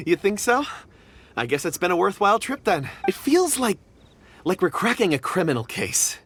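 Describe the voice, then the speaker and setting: A man's voice: high-pitched, sing-song, moderate pace, a bit bright, breathy edge, exaggerated voice. A man voicing a polite, modest and earnest traveling companion in a video game, courteous in his speech. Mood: modest, friendly. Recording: faint music behind the voice.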